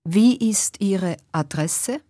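A woman asking clear, patient questions in a slow scripted language-course dialogue. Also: mid-range voice, expressive, dark tone, no echo, clean studio sound.

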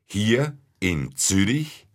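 Man, low voice, very slow pace, friendly interviewer in a learner dialogue. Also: sing-song. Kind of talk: slow scripted language-course dialogue.

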